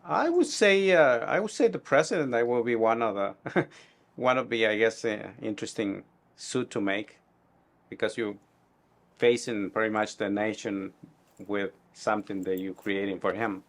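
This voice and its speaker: A middle-aged man speaks English with a Guatemalan Spanish accent in a fairly low voice. He answers interview questions conversationally, pausing and reflecting as he goes. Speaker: tailor being interviewed.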